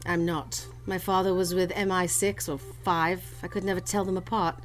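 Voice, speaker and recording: A woman's voice: on the low side, little pitch movement, moderate pace, faint music behind, lightly acted. A woman playing a steely, vengeful gun-toting stranger in a campy noir radio play, commanding and melodramatic. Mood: bitter. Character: steely, vengeful woman holding a detective at gunpoint.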